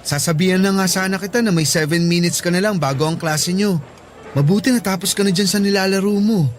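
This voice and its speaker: An actor playing a sweet, easygoing young student suitor in a Filipino radio drama, casual and earnest, with a Filipino accent. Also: male voice, high voice, fairly quick, soft background music, lightly acted. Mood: friendly.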